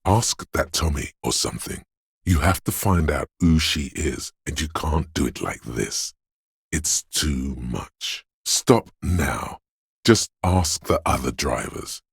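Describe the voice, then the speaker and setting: An actor voicing a menacing inner voice of fear and violent aggression in a video game, brooding and theatrical, speaking in the second person. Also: male voice, lively intonation, no room sound, clean studio sound, heavily acted. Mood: tense urging. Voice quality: very deep, gravelly, husky. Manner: brooding, theatrical, deliberate delivery with ominous weight.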